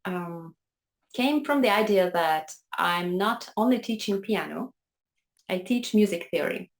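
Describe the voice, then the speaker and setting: A woman's voice: medium pitch, animated, a little slow. Hungarian-accented woman telling her story. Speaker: piano teacher, interview guest. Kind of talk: conversational storytelling.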